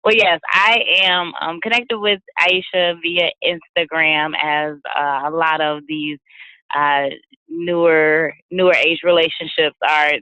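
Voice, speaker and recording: Upbeat woman host, bright punchy voice, dry sound, laughs a lot, casual slang. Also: deep voice, no room sound, rough recording. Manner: lively, laughs often, slangy. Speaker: host of a virtual summit. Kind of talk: interview hosting. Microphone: video call.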